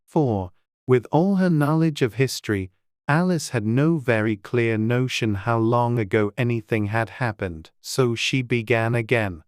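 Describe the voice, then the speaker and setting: A woman's voice: very deep voice, unhurried, a little mellow, dry recording, studio quality. A woman reading scripted storybook narration as an audiobook narrator.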